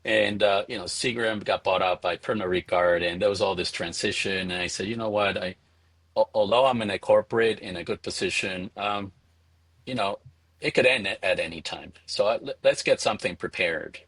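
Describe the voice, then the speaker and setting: A middle-aged man from Venezuela answering interview questions conversationally, in a halting way, repeating words as he thinks. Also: fairly low pitch, a bit bright, slightly rough, no room sound. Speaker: interview guest, spirits entrepreneur.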